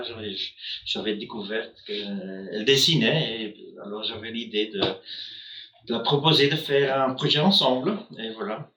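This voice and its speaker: A man whose first language is Dutch, speaking with a Flemish accent as a hypnotist in a casual live conversation, pausing and restarting often. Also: lowish voice, sharp tone, roomy sound, dull audio, a little hiss or hum. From Flanders, Belgium. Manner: hesitant, many pauses and restarts.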